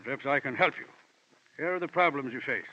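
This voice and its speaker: Pompous, florid radio crook, sharp-edged. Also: male voice, medium pitch, animated, fast. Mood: pompous, silky. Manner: pompous, florid, formal wording. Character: pudgy, pompous older male criminal middleman, smooth negotiator. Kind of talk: 1940s radio drama acting. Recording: dull 1940s radio recording.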